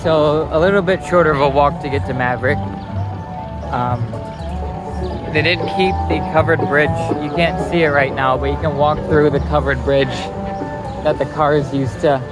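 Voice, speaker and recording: Male theme-park vlogger, fairly high voice, conversational and unscripted, music clearly audible in the background. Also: fairly even intonation, moderate pace. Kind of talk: casual chat and walk-through commentary. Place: outdoors in an amusement park. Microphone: phone camera. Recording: outdoor phone recording with music behind.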